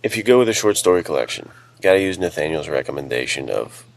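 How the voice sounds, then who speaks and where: Very deep-voiced, creaky man chatting. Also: animated, fast, a little hiss or hum. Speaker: podcast co-host and writer. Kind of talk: casual podcast chat.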